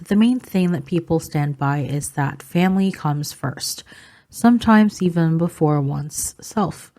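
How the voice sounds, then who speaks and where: A low-voiced woman from the Philippines, Filipino her first language, speaking in a calm, informative vlog manner. Her tone is mellow and warm, and she pauses on little "um" fillers as she explains. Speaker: vlogger. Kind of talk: explaining culture to camera.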